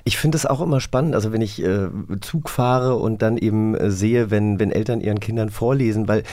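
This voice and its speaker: A man hosting a podcast, moderating an interview in a casual, conversational way with somewhat halting phrasing. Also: fairly low pitch, mellow. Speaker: podcast host.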